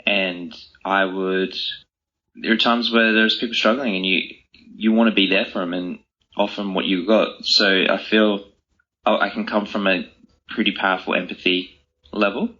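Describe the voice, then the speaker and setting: A man with a deep voice and a very bright, forceful edge answers interview questions. He talks conversationally, in an earnest and reflective way. The recording is slightly muffled. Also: fairly even intonation, normal speed. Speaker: interview guest, author. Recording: slightly dull, lo-fi sound.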